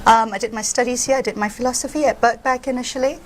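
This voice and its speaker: A woman from Malaysia, a psychotherapist, speaking as an interview guest in a calm, measured and frank way about her work. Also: fairly high pitch, a little hiss or hum.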